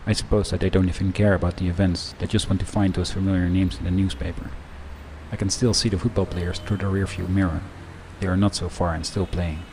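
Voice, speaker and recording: A man delivering a scripted first-person monologue in a measured, reflective way. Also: low-pitched, a little mellow, slight background noise, rough recording. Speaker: narrator.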